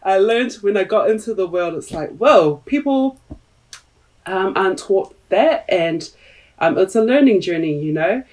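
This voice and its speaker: Slow, thoughtful New woman. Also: medium pitch, expressive, a little mellow, far from the mic, poor audio. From New Zealand. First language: Tongan. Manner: thoughtful, pausing often with fillers. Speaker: podcast guest. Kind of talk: reflective interview talk.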